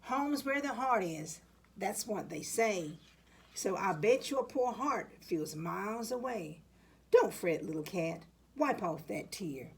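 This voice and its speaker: A woman reading the part of a kindly, sentimental older woman in a children's storybook, speaking gently and consolingly. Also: medium pitch, lively intonation, slow pace, gravelly, slightly rough, exaggerated voice. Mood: tender, consoling. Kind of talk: children's storybook read-aloud.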